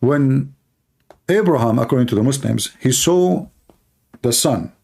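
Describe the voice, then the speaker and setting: A man's voice: mid-range voice, expressive, unhurried, vocal fry, warm tone, slightly rough. A man from the Middle East, a native Arabic speaker with an Arabic accent, talking informally and bluntly as he hosts a live stream. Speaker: live stream host, religious apologist.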